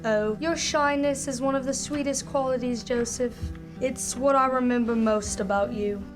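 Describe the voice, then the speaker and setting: A woman acting an effusive, affectionate widow who flatters sweetly in a radio comedy. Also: high voice, normal speed, music in the background, lightly acted. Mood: gushing, affectionate. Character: gushing, sweetly flattering widow lady friend.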